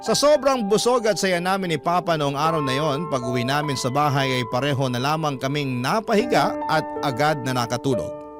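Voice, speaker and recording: A Filipino man reads a scripted first-person story in sentimental radio drama style. His voice sits a little high for a man. Music plays clearly behind the narration. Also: animated, brisk.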